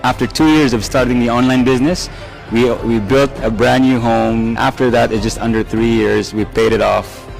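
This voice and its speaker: A man's voice: mid-range voice, fairly even intonation, normal speed, gravelly, poor audio. A man giving an earnest, emphatic testimonial about his online business. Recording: background music.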